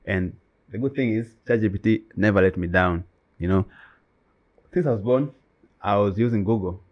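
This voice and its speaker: A man's voice: lowish voice, moderate pace, lo-fi sound. A man whose first language is Swahili, co-hosting a casual chat and talking emphatically with many "you know"s. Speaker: co-host.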